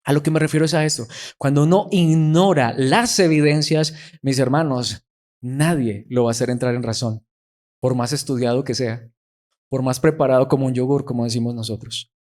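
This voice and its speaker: A man's voice: mid-range voice, moderate pace, darkish tone. A male pastor preaching a sermon, acting out quoted voices and asking the congregation rhetorical questions.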